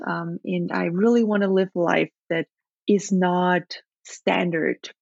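A woman's voice: on the low side, creaky, breathy edge, no room sound, lo-fi sound. A woman in her thirties from Austria with an Austrian accent, chatting thoughtfully as a podcast guest with many restarts and fillers. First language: German. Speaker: podcast guest, travel blogger. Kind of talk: casual interview chat.

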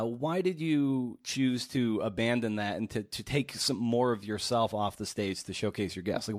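A man hosting a casual interview, speaking haltingly and circling around as he builds his questions. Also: mid-range voice, no room sound, clean studio sound. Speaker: podcast interviewer, host.

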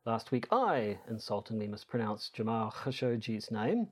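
A man's voice: lowish voice, sing-song, moderate pace, breathy edge. A man co-hosting a podcast in casual discussion, with a hesitant, rambling delivery that often repeats words. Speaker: podcast co-host.

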